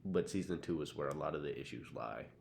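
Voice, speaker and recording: A man with a very deep voice chats as a podcast co-host. His delivery is casual and matter-of-fact, with slang and the occasional swear word. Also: animated, fast, vocal fry, slight background noise. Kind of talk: casual banter, would-you-rather questions.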